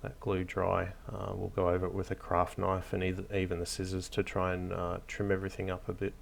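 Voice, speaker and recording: A man hosting a hobby tutorial, narrating casually off the cuff in a hesitant, low-key, encouraging way. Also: completely flat delivery, fairly quick, gravelly, slight background noise. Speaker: hobby craft tutorial host.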